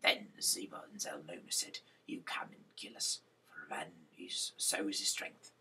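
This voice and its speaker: Male amateur scripture reader: breathy and whispery with a forceful edge, slightly off-mic, stumbling on names. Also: low-pitched, unhurried, recorded from a distance. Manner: nonstandard grammar, stumbles over names. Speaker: reader of Bible chapters. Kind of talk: amateur reading aloud of scripture.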